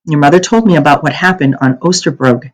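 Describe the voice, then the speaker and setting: A woman's voice: deep voice, fairly quick, low-quality recording. A woman reading a children's novel aloud as a kindly, protective young man who explains things earnestly. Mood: grave.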